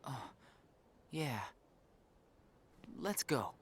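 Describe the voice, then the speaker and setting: Earnest teenage boy, lively pitch. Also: very slow, heavy background noise. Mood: friendly. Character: naive, earnest, quietly curious teenage boy. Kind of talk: video game voice acting. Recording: game audio with music behind.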